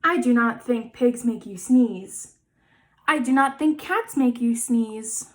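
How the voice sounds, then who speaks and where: A woman voicing a calm, matter-of-fact storybook cat doctor in a measured, reassuring way. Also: a little high, recorded from a distance. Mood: calm diagnosis.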